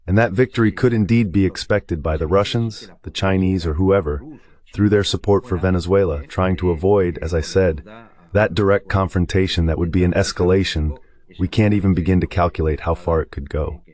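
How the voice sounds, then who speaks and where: A man with a very deep voice speaks as a guest analyst. The delivery is a translated voice-over of spoken geopolitical commentary. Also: brisk, darkish tone.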